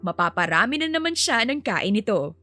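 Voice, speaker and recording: A woman's voice: a little high, big pitch swings, moderate pace, bright, forceful tone. A woman storyteller reading a scripted romance story as narration. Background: faint music.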